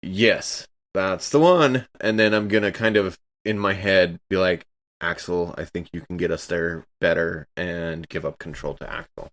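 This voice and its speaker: Deep-voiced man playing a tabletop game. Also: measured pace, a bit bright. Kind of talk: casual in-game improvisation.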